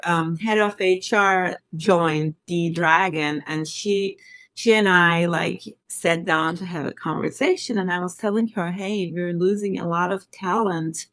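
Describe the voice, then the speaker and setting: A woman in her forties from Turkey, a scientist talking casually in an interview, thoughtful and filling pauses with ums. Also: lowish voice, a bit bright, dry recording. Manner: thoughtful, many fillers, laughs easily.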